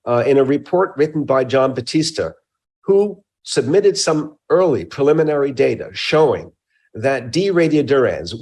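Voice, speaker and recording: A man speaking as a research scientist and interview guest, explaining his work in a measured, technical way with emphatic phrasing. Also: medium pitch.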